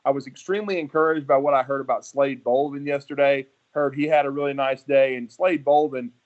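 A man's voice: mid-range voice, fairly even intonation, brisk, narrow, tinny audio. A man hosting a sports show, discussing college football in a conversational, opinionated and detailed way. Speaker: sports podcast host.

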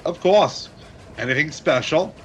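Actor as higher-voiced, courteous male attendant. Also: a little slow, a bit bright, lo-fi sound, a little hiss or hum. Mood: courteous, teasing. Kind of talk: radio play acting.

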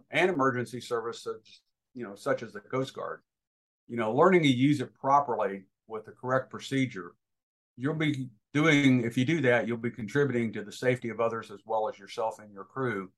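A man's voice: medium pitch, a bit bright. A man giving informal instruction as a guest expert, talking in an emphatic, advisory way.

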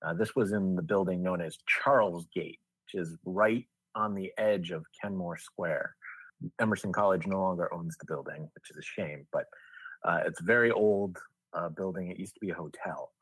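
A man with a fairly low, husky voice co-hosts a podcast. He spins an anecdote conversationally, laying it out step by step. The sound is dry and close. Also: normal speed, a bit bright, no room sound, rough recording. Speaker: podcast co-host. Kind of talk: casual anecdotal storytelling.

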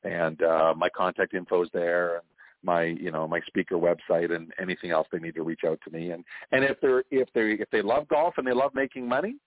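Canadian guy chatting on a call, lowish voice, dry tinny phone sound, jokey storyteller. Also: steady tone, a little fast, no room sound. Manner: chatty storyteller, jokes and laughs often. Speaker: interview guest, franchise consultant. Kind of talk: casual interview chat.

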